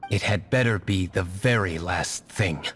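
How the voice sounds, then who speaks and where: A man's voice: deep voice, measured pace, fairly bright tone, husky, full character voice. A man voicing a stern, reserved young tavern owner in a video game, curt and dry. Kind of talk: video game dub acting.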